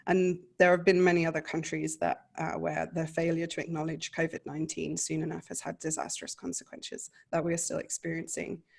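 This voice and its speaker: A woman with a slight Australian accent speaking as a panelist, giving earnest, measured remarks on a video call. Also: deep voice, completely flat delivery, vocal fry.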